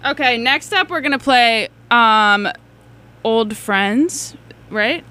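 Casting: bright, lively young woman, playful radio co-host, giggly. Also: on the high side, slow. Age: 20s. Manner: giggly, playful, meows between lines. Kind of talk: casual radio banter.

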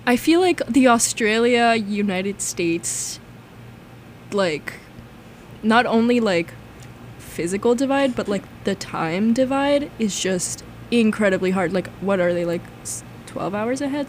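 A young woman co-hosting a casual discussion, telling stories in an animated way and peppering her talk with "like". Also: fairly high pitch, a little slow, a little hiss or hum. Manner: animated storytelling, heavy use of "like". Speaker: podcast co-host.

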